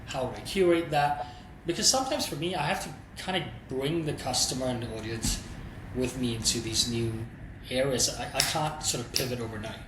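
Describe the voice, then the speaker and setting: Exec guy in an interview, candid and thinking out loud, echoey room with noise behind. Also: medium pitch, fairly quick. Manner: thoughtful, candid, thinks aloud. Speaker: streaming executive guest. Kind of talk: conversational interview answers. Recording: roomy echo with steady background noise.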